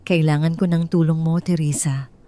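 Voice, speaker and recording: A broken Filipino former businessman in an audio drama, as a woman storyteller puts him on. He is humbled and pleading, and his words come haltingly. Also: low-pitched, steady tone, mellow, close to an everyday voice.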